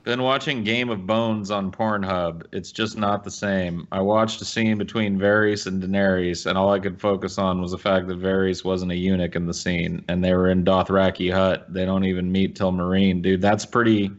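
A man's voice: low-pitched, highs cut off. A man co-hosting a podcast, chatting casually in laid-back slang. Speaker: podcast co-host. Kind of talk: casual chat.

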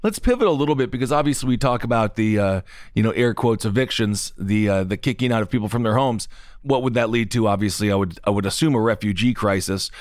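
A man's voice: lowish voice, fast talker, no room sound. Easygoing male host, dry sound. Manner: easygoing, joking, asks long questions. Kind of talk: casual interview talk.

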